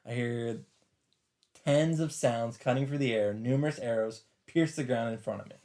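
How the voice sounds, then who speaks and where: A man's voice: mid-range voice, moderate pace, background noise. A man hosting a let's play, reading visual novel narration aloud with brief asides. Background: game music.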